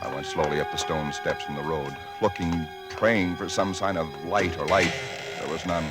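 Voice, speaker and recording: An actor playing a frightened man waiting alone in an empty house, telling his story in a hushed, haunted voice. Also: low-pitched, fairly even intonation, moderate pace, lightly acted. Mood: dread. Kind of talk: 1940s radio drama narration. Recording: 1940s radio broadcast with loud music. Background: music score.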